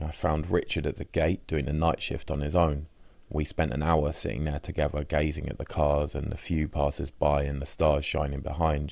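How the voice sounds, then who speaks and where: Very deep-voiced man narrating a diary. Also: gravelly, darkish tone, no room sound. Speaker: audiobook narrator reading a diary. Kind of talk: scripted first-person diary narration.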